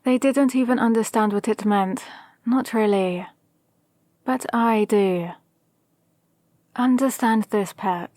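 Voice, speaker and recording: A woman playing a calm, possibly mad villain sorceress in an ASMR roleplay, speaking to an apprentice in a measured, condescending, gently dominant way. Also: fairly high pitch, no echo, studio quality. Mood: knowing, gently dominant. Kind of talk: ASMR roleplay with whispered asides. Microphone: close, dry microphone.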